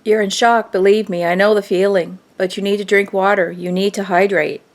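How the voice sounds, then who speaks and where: A woman acts as a middle-aged mother in a radio drama. She is steady and practical, reassuring in a mid-range voice as she takes charge. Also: mid-range voice, a little fast, natural voice. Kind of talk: audio drama acting.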